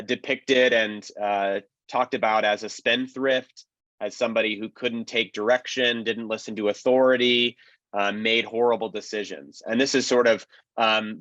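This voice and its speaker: A man's voice: on the low side, a bit bright, no echo, muffled recording. A man giving informal, analytical panel commentary as a radio historian, explaining things in detail.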